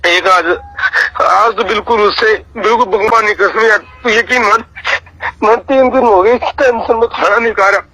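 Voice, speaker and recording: A man with a high, sharp voice pleads in a private phone argument. He sounds tearful and distressed, repeating himself and swearing oaths. It is a recorded phone call. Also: fairly even intonation, normal speed, dry recording. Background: phone call audio.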